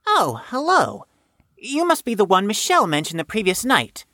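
A woman's voice: mid-range voice, huge pitch swings, normal speed, pushed and bright, no room sound, studio quality. A woman voicing a pompous, wordy teenage know-it-all in an audio drama, speaking in an over-formal manner. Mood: pompous, polite. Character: pompous, verbose teenage know-it-all nerd named Gray.

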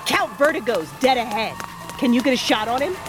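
A woman's voice: fairly high pitch, animated, fairly quick, over music, close to an everyday voice, poor audio. A woman playing a tough, cocky superhero vigilante in an audio drama, with sassy, confident banter. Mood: urgent.

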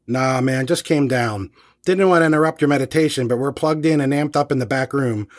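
An actor playing a laid-back, crude guitarist who talks in excitable slang. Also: male voice, mid-range voice, brisk, close to an everyday voice. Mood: excited.

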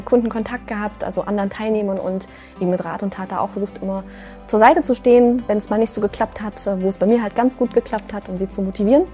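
A woman in her early thirties from Göttingen, an earnest nutrition coach who pauses often with fillers. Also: medium pitch, dark tone. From Göttingen, Germany. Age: 30s. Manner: earnest, many hesitation fillers. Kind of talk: recruiting video interview.

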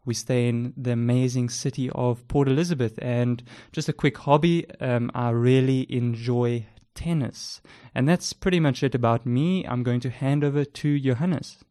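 South African man, smooth dry voice. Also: mid-range voice, normal speed, dry recording. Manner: relaxed, with frequent ums and uhs. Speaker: podcast co-host. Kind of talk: casual self-introduction.

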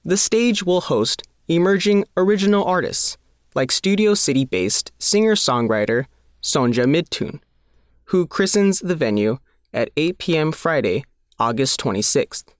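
A man's voice: fairly high pitch, lively intonation, measured pace, dry recording, studio quality. A man reading a scripted newspaper feature aloud as the reporter. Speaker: reporter reading their own article.

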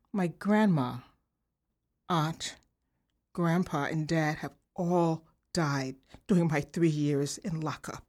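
A woman's voice: mid-range voice, natural voice. Woman playing a bitter, sarcastic inmate. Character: bitter woman inmate denied family funerals. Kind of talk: audio play acting.

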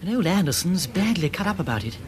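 An actor playing a hearty, slangy upper-class English stockbroking gentleman in a radio drama, blustering and emphatic. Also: high voice, very animated, fast, a little hiss or hum. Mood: blustering, gossipy.

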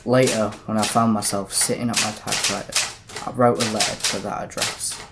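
A man playing a calm, sober detective inspector in an audio drama, speaking in a measured, formal way. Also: on the low side, vocal fry, very noisy. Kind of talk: community audio drama narration and dialogue.

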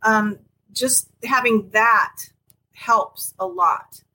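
A woman co-hosting a podcast in casual chat, talking hesitantly with many pauses and ums. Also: a little high, slight background noise, rough recording. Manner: hesitant, many ums, soft-spoken openness. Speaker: podcast co-host.

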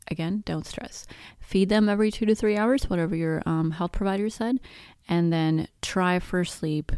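A woman's voice: on the low side, creaky, darkish tone, no echo, clean studio sound. A woman in her thirties whose first language is Spanish, hosting a podcast and giving chatty, self-deprecating advice on baby sleep. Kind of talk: casual advice talk.

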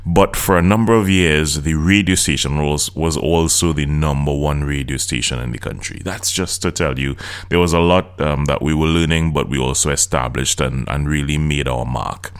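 Very low-voiced trinidadian guy hosting his own podcast, earnest coaching tone. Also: moderate pace, clean tone. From Trinidad and Tobago. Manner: earnest, coaching, direct to listener. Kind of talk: solo podcast talk.

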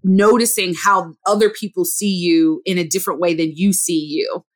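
A woman's voice: lowish voice, fairly even intonation, normal speed. A woman hosting an interview, affirming and reflective, with many "you know"s. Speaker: host.

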